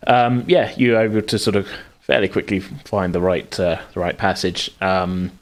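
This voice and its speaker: A man's voice: low-pitched, flat delivery, normal speed. A man working as game master, narrating a tabletop game in a conversational, meandering, slightly hesitant way.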